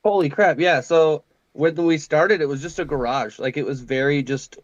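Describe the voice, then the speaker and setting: Guest, man, mid-30s, middle pitch, modest storyteller, slightly muffled dry sound. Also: normal speed, no echo. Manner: modest, relaxed storyteller, says like and dude. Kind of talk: casual interview chat.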